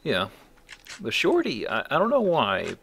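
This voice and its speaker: A man's voice: medium pitch, huge pitch swings, a little slow, vocal fry, a bit bright, slight background noise. A man giving casual, wry commentary as he hosts a video game playthrough. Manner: wry, joking asides. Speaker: let's play host and player.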